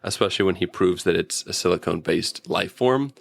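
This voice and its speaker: A man's voice: low voice, fairly quick, creaky, dry recording. A man co-hosting a casual, joking review chat, riffing with playful exaggeration. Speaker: podcast co-host reviewing TV episodes.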